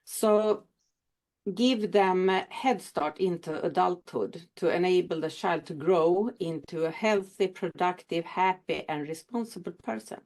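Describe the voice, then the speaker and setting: A woman speaks in a somewhat low voice over a video call. She introduces herself at a networking meeting, pausing often and searching for words in careful English. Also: darkish tone. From Sweden. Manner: halting non-native phrasing with many pauses. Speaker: founder introducing her business.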